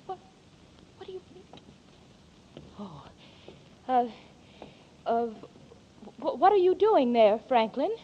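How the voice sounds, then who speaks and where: A woman's voice: sits high, very animated, takes it slowly, pauses often, a little hiss or hum, exaggerated voice. An actress playing a frightened woman in a 1940s radio drama, pleading in panicky, breathless bursts. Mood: terrified. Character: frightened woman of the house, Ada. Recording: dull old radio recording.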